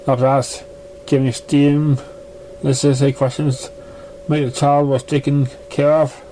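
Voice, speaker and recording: A man reading a story aloud in a halting way, stumbling over words now and then. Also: medium pitch, level tone, warm tone, a little hiss or hum, low-quality recording. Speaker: amateur fan fiction reader.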